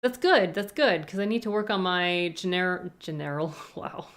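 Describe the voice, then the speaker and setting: A woman's voice: on the low side, animated, normal speed, a bit bright. A young woman with a Canadian accent, chatting casually on a live stream and answering viewer questions. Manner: rambling, thinks aloud with frequent hedges.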